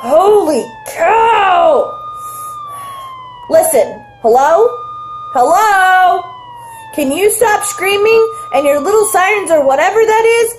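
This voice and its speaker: A woman's voice: very high-pitched, lively intonation, takes it slowly, a little hiss or hum, heavily acted. A young woman playing a fed-up, firm housewife who is pestered by prank phone calls. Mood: exasperated, furious. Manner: exasperated, firm. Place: small room at home.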